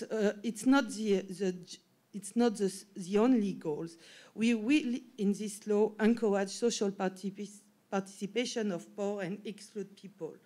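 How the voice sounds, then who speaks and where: A woman's voice: mid-range voice, darkish tone. A woman with a French accent and French as her first language, giving an academic conference talk with frequent restarts and self-corrections. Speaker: professor and panel speaker.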